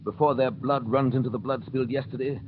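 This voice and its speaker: A man's voice: mid-range voice, steady tone, fast talker, natural voice. A man playing a grave storyteller, delivering scripted narration in an old radio drama. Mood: grave, ominous. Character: grave storyteller of revolutionary Paris. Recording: very noisy, tinny old radio recording.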